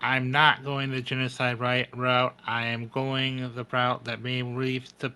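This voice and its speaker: A man speaks as a player in a casual tabletop game. His voice is bright and very clear, at an average male pitch. He halts and doubles back on words while thinking aloud. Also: flat delivery, measured pace. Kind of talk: casual roleplay and table chat.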